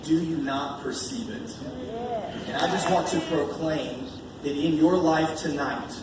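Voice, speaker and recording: A man's voice: a little high, a little slow. An American man preaching an informal, conversational sermon, earnest and repeating key phrases for emphasis as he reads and explains scripture. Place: large echoey indoor church meeting space. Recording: echoey room, distant mic, background noise.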